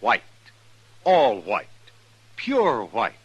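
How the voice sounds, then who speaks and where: Husky, punchy male ad announcer. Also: mid-range voice, wildly swooping pitch, drawn-out words, muffled recording. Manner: punchy, emphatic. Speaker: commercial announcer. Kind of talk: 1950s radio cigarette advertising pitch.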